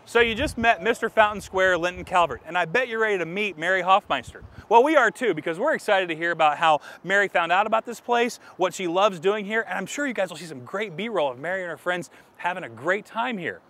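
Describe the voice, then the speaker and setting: A man's voice: sits high, lively intonation, brisk, few pauses, sharp tone. A man hosting a local show, presenting to camera in a playful, jokey way. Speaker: show host.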